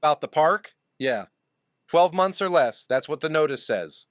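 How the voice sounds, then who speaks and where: A man playing an eccentric, stoic oddball in an audio drama, speaking with formal, earnest deadpan. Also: fairly high pitch, moderate pace, no echo, studio quality, lightly acted. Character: eccentric, stoic male hot-sauce maker in a trailer.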